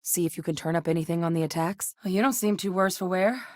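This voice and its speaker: A woman's voice: on the low side, fast, a little mellow, dry recording, lightly acted. An actress playing a capable, dry-witted woman scientist and soldier in a space video game, articulate, earnest and direct. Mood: matter-of-fact.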